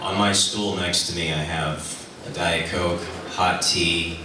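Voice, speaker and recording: A man with an extremely deep voice chats easily on a convention panel. He is far from the mic in a resounding hall, with a crowd murmuring behind. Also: fairly even intonation, takes it slowly. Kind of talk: casual panel chat.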